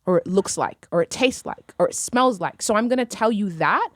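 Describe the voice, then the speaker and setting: A woman's voice: mid-range voice, lively intonation, moderate pace, no echo. A young woman whose first language is Arabic, speaking in a vivid, poetic, reflective way in a casual studio conversation. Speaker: poet and podcast guest. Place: small studio room. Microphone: studio mic on stand, headphones.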